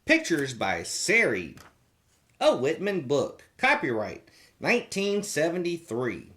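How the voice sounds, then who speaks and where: A children's show host reading a storybook aloud in a playful, theatrical, expressive way. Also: medium pitch, slow.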